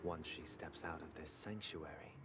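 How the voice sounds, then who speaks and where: A man playing a grave, philosophical male character in a video game, solemn and deliberate. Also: rumbling low, heavy background noise. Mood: solemn, knowing. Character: grave, measured, philosophical man of quiet conviction.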